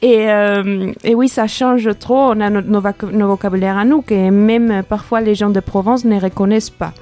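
Mexican woman chatting, mellow voice. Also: mid-range voice, fairly even intonation, normal speed. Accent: Mexican Spanish. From Mexico City. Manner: hesitant, many fillers and restarts, laughs often. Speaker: podcast co-host. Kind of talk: casual radio podcast chat.

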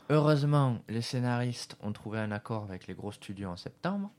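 A man hosting a casual radio show about cinema, relaxed and talking straight to the listeners. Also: medium pitch, moderate pace.

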